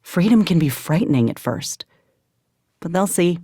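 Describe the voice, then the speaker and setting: An actress playing a gruff, loyal sci-fi soldier and medic in a video game, speaking in a folksy, plainspoken, measured way. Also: female voice, on the low side, animated, mellow, no echo, studio quality. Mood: earnest, measured. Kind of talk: video game companion dialogue.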